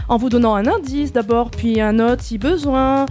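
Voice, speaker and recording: A woman's voice: a little high, normal speed, a little mellow, faint music behind. A woman hosting a quiz in a casual, playful, bantering way. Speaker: quiz host.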